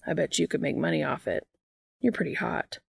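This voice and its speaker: Woman reading as a gravelly, teasing, flirty guy. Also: deep voice, lively intonation, fairly quick, dry recording, studio quality, full character voice.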